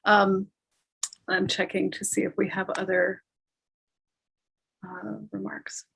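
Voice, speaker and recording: A woman moderating a live panel discussion, speaking in a halting, thoughtful way that circles around her phrasing. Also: mid-range voice, level tone, vocal fry, a bit bright, breathy edge, low-quality recording. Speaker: talkback moderator.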